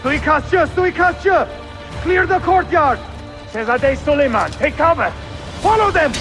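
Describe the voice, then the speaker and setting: A man, playing an alarmed, dutiful Ottoman palace guard in a video game, calling out loudly and urgently. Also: extremely high pitch, animated, a little slow, big-room sound, full character voice. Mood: alarmed shouting. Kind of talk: cinematic game acting. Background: music.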